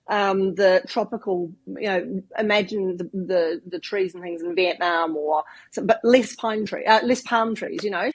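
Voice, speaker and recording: Husky New Zealand woman in her fifties. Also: medium pitch. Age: 50s. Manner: chatty, explains with long winding examples and many "you know"s. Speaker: podcast guest, branding expert. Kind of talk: casual interview talk.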